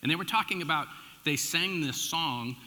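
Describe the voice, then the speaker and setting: A middle-aged American man preaching as a pastor, telling personal stories in an informal, animated, conversational way with emphatic swings and dropped asides. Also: a little high, moderate pace. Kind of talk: conversational sermon with personal storytelling. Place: large church room, addressing a congregation. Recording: echoey hall with long reverb.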